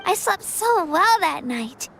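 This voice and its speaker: A woman's voice: very high-pitched, big pitch swings, slow, vocal fry, fairly bright tone, a little hiss or hum, full character voice. A woman voicing an earnest, friendly young girl adventurer in an anime game, admiring and encouraging. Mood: warm, admiring.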